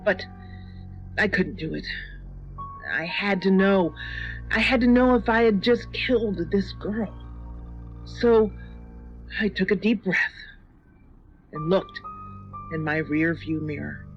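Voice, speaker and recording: A woman reading a scripted first-person horror story in a measured, dramatic, suspenseful manner. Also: mid-range voice. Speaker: story reader. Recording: dull lo-fi audio with music.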